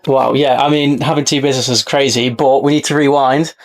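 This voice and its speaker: A man hosting a podcast, leading a relaxed interview and often chiming in with a quick yeah. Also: medium pitch. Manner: friendly, frequent "yeah" backchannels. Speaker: podcast host. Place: on board a yacht.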